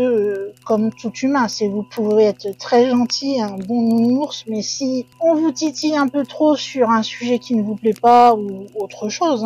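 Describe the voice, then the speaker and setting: A woman narrates a ghost legend for her channel in a chatty, easygoing way, often turning aside to talk to her viewers. Her voice sits fairly high and sounds husky, and the recording is dull and lo-fi. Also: darkish tone. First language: French. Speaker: channel host. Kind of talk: casual storytelling narration.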